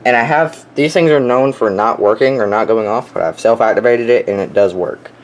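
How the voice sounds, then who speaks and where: A man's voice: mid-range voice, brisk. A young man giving a casual, unscripted show-and-tell in an offhand, enthusiastic hobbyist way. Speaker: weather radio collector showing their collection. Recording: clearly noisy home recording.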